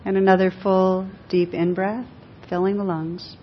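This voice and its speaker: A woman leading a guided meditation for a group, speaking gently in short, unhurried phrases with long pauses. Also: level tone. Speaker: meditation teacher. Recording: dull echoing hall recording, faint rumbling noise.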